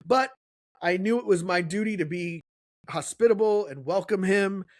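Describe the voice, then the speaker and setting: A man's voice: high voice, moderate pace, no room sound, clean studio sound. A man, co-hosting a podcast and telling a long, rambling story in casual chat. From Kansas City, USA. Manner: rambling storyteller, acts out quotes. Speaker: podcast co-host.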